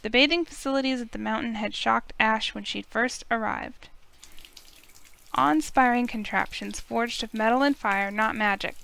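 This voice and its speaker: A woman's voice: fairly high pitch, normal speed, creaky, a bit bright, smooth. A woman streamer reading a fantasy visual novel aloud in a storytelling narration style. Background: music.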